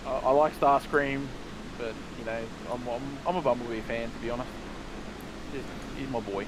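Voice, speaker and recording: A man's voice: mid-range voice, steady tone. Easygoing man calling race action. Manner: easygoing, banter and quick race calls. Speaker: sim racing commentator. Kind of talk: casual race commentary chat. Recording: loud background noise.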